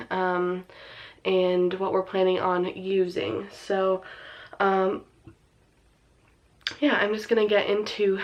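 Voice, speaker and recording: A young woman in her twenties talks casually straight to camera, her nose a little stuffed and sniffly from a cold. She rambles in a chatty, relaxed way, dropping plenty of ums and likes as she explains things. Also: medium pitch, dull audio. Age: 20s. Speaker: vlogger.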